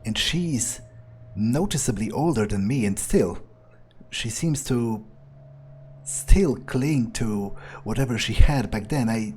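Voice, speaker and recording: Man playing a slow, mellow, callous young vampire. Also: mid-range voice, expressive, slight background noise. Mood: pensive, puzzled. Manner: musing, hesitant, dismissive. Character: callous, cynical young male vampire, foul-mouthed loner. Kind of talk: in-character game playthrough monologue.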